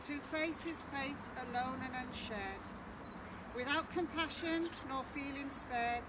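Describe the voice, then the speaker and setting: Female poetry reader, unhurried and careful, outdoors with heavy background noise. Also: sits high. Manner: slow, careful recitation. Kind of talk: reading a poem aloud. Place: outdoor memorial gathering. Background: outdoor ambience.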